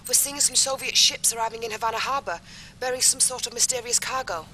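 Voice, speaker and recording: An actress playing a brisk female intelligence officer briefing a commander on a mission. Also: on the high side, pushed and bright, a little hiss or hum, poor audio. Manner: crisp briefing delivery.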